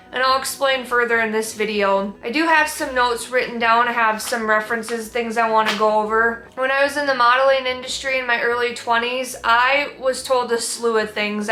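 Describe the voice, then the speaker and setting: A young woman with a Minnesotan accent speaks in a sit-down vlog, candid and reflective. Her voice sits a little high and rings bright and forward. The room around her is audibly echoey. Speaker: vlogger. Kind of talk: sit-down personal talk.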